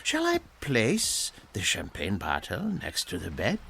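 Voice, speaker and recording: An actor playing a polite luxury yacht captain in a radio drama, formal, servile and precise. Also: male voice, low-pitched, wildly swooping pitch, a little slow, creaky, background noise, exaggerated voice. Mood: deferential, unruffled.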